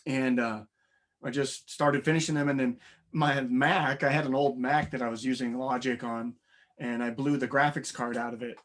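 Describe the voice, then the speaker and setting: Young man, raspy voice, modest and rambling interview guest, mid-range pitch. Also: fairly even intonation, moderate pace, a bit bright. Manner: modest, rambling, many fillers and restarts. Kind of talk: casual interview chat.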